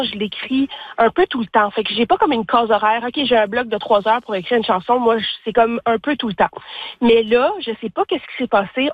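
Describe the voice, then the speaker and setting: A woman's voice: fairly high pitch, no echo, phone-quality sound. A woman with a Franco-Ontarian accent talking casually and effusively as an interview guest, telling things in an informal, anecdotal way. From Ontario, Canada. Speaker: singer-songwriter, interview guest. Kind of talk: casual, candid interview talk.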